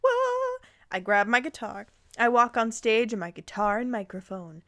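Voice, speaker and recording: Female reader-commentator, high-pitched and expressive, casual amused asides, very close dry sound. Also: normal speed, no echo. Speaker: host reading fan fiction aloud with commentary. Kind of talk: informal reading with reactive asides.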